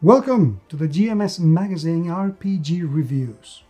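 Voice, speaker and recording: A man's voice: on the high side, animated, moderate pace, warm tone, dull audio, soft background music. A man hosting a tabletop game review, speaking enthusiastically and stressing words by repeating them. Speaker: host and reviewer of tabletop role-playing games. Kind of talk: scripted-sounding video review.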